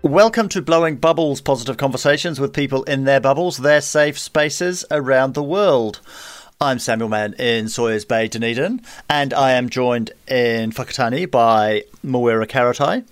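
A man's voice: medium pitch, normal speed, clean tone, no echo, soft background music. Kiwi guy hosting a chat podcast from home, dry close sound, easygoing. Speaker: podcast host. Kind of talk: casual interview chat.

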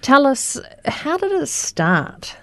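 A woman's voice: high-pitched, very animated, slow pace, no room sound. A woman hosting a radio interview show, reading a scripted introduction and then drawing out her guest with encouraging questions. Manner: encouraging, warm prompts, reads scripted intro.

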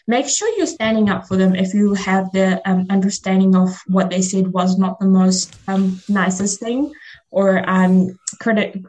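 A woman hosts a casual, reflective radio talk. Her first language is Fiji Hindi and her voice sits at a middle pitch. She explains herself in loose steps, often checking in with "you know". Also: steady tone, unhurried, dark tone, rough recording. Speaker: radio show host.

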